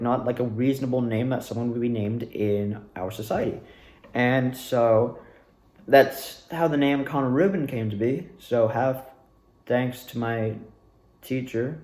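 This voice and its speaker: A young man telling a casual personal story in a rambling, animated way, repeating words for emphasis. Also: mid-range voice. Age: young adult. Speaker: student actor recounting past performances. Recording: echoey, reverberant room.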